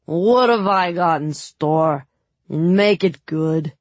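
Woman playing a tipsy pushy nobleman, slurring, bit loud. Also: on the low side, slow, no echo. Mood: demanding. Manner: slurred, demanding.

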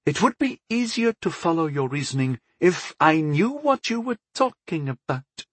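A man's voice: on the high side, very animated, moderate pace, husky, dry recording, pitched above the performer's own voice. A man voicing a skeptical, exasperated goddess in a fantasy audiobook, questioning and chiding. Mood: puzzled. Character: skeptical, exasperated patron goddess, a divine woman.

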